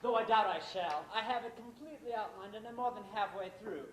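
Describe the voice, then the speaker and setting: A man's voice: high voice, brisk, close to an everyday voice. A man acting an older, established playwright in an amateur stage play, sly and condescending, with a theatrical, faux-genial delivery. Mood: cool, condescending. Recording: echoey stage recording, faint noise.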